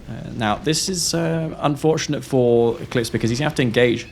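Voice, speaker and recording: A man's voice: medium pitch, fairly quick, a little hiss or hum. A man with a sore throat giving a play-by-play commentary of his own strategy game match.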